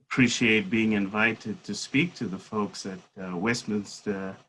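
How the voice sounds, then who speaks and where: Slow, measured man. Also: lowish voice, steady tone, sharp tone, slight background noise, low-quality recording. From South Africa. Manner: measured and unhurried, with pauses and fillers. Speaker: guest speaker, seminary academic. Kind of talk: reflective personal storytelling in conversation.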